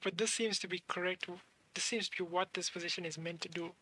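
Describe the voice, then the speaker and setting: A game streamer giving casual, halting commentary, thinking aloud while playing. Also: mid-range voice, steady tone, bright, forceful tone, slight background noise. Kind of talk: casual let's play commentary.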